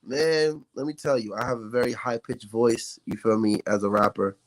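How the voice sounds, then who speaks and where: A man's voice: mid-range voice, fairly even intonation, normal speed, dry recording. An American man, a rapper, talking casually as a podcast guest with slangy speech and frequent check-in tags. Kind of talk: casual interview chat.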